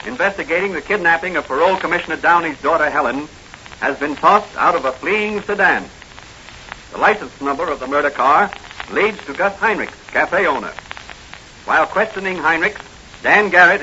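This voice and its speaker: Male serial announcer, dramatic delivery, bright cutting tone, muffled old-time radio recording with some noise. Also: on the high side. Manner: dramatic, declamatory. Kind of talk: 1940s radio scripted narration.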